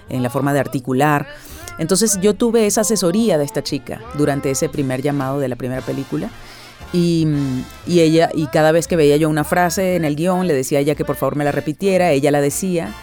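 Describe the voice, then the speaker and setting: A woman's voice: low-pitched, a little fast, darkish tone, soft background music. A middle-aged woman from Venezuela who works as a voice actress, answering interview questions in a reflective way, often restarting and tagging her sentences with questions.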